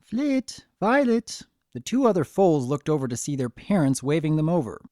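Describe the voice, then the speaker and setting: A man reading a scripted story as narrator, with a gentle, expressive dramatic delivery. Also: a little high, quick pace, dry recording, studio quality.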